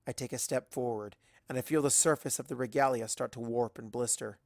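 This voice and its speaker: An expressive storyteller reads fiction in a deliberate, dramatic way. The pitch sits in the middle, and the sound is close and dry, with little room in it. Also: no echo. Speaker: fan fiction reader. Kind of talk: dramatic storytelling narration with acted dialogue.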